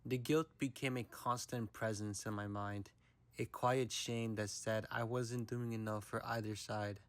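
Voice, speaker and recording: A young man from the United States giving a reflective, measured narration for a personal documentary. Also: lowish voice, steady tone, no room sound.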